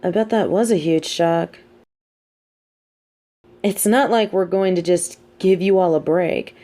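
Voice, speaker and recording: A sardonic female supervillain, acted by a woman in an intimate audio roleplay. She speaks slowly in a soft, dark, slightly low voice, weighing words with theatrical poise. Her scheming, wry manner carries a grudging streak of sympathy. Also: close to an everyday voice. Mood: probing, intrigued. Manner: theatrical, measured, wry, drops into muttered asides.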